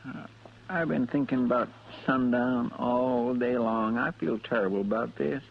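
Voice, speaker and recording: An old, muffled radio recording with faint noise. a folksy American deputy sidekick in a Western drama, a man of middling pitch who speaks deferentially and hesitantly, full of worry and guilt. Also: measured pace, vocal fry. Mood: worried, guilty.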